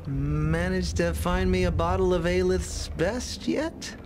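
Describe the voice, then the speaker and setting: An actor playing a genial, tipsy drunkard in a fantasy game, rambling in a loose, friendly way. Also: male voice, high-pitched, steady tone, takes it slowly, noisy background. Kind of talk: fantasy video game dialogue.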